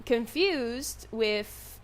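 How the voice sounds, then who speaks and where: A young adult woman with a high, youthful voice that can pass for a child's, telling a personal story in a candid, conversational way with wry asides. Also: animated, extremely slow. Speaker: online content creator telling their own story. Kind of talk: casual personal storytelling monologue.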